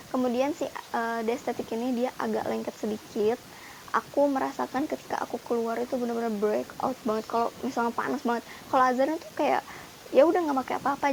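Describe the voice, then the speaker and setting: A woman beauty vlogger chatting casually to camera in a product review, talking to her viewers as guys with lots of fillers, her voice wearing thin and hoarse. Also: a little high, a little mellow, slight background noise, rough recording.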